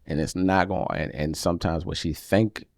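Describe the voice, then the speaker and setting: A man with a deep, carrying voice co-hosting a casual podcast chat, animated and expressive, often restarting his sentences. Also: moderate pace, dry recording, studio quality. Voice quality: deep voice that carries. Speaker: podcast co-host. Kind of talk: casual chat about marriage.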